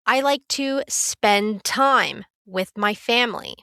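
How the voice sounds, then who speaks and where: A woman teaching a beginner English lesson in a careful, clear, scripted way. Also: a little high, slow, sharp tone, dry recording, clean studio sound. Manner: clear, careful, instructive delivery.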